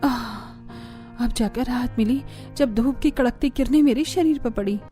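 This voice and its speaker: A woman's voice: fairly high pitch, normal speed, warm tone, soft background music, lightly acted. A woman voicing a shy young newlywed bride who talks to herself in a Hindi cartoon story. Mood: relieved, content. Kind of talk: Hindi animated family story acting.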